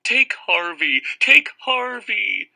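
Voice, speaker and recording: A man's voice: sits high, expressive, slow, creaky, bright, forceful tone, voice pushed up, exaggerated voice. A man doing a sobbing, panicked young boy. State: crying. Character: terrified chubby young boy, sobbing and begging.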